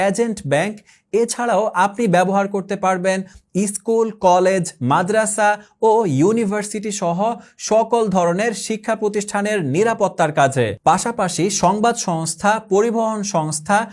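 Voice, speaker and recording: A man from Bangladesh delivering a scripted sales pitch, briskly listing product features, prices and uses. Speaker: product promoter. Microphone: handheld phone shown on camera.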